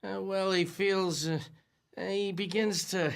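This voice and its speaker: A man's voice: high-pitched, steady tone, takes it slowly, gravelly, smooth, heavily acted. An actor playing a bumbling, blustery working-class husband and father in a comic radio drama, with a fumbling, hesitant way of talking. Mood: blustering.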